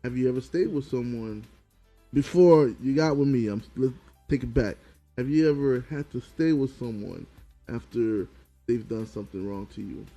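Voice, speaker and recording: A man's voice: mid-range voice, normal speed, warm tone, faint music behind. A man co-hosting a relationship podcast in casual chat, pressing his point and repeating it. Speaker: podcast co-host.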